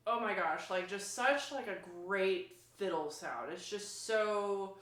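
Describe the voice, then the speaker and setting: A woman chatting casually as she demonstrates an instrument, enthusiastic and informal, with a halting, searching delivery. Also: mid-range voice, fairly bright tone. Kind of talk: casual product demo chat. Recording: roomy, echoing sound.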